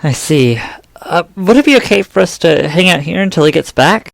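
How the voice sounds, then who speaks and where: A man's voice: a little high, expressive, moderate pace, vocal fry, no echo. A man playing a cautious, kindly young friend in an audio drama, speaking in a hesitant, tentative way.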